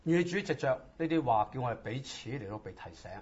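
A man's voice: mid-range voice, animated, fast, a little mellow, husky. A man preaching in short phrases, exhorting listeners with rhetorical questions. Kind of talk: sermon in short phrases with pauses for interpretation. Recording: dull, lo-fi audio.

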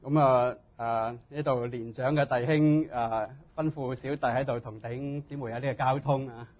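A man preaching as a guest in short phrases, speaking humbly and haltingly in his native tongue. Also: mid-range voice, fairly even intonation. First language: Cantonese. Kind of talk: sermon delivered in short phrases for interpretation. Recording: dull, muffled lo-fi sound.

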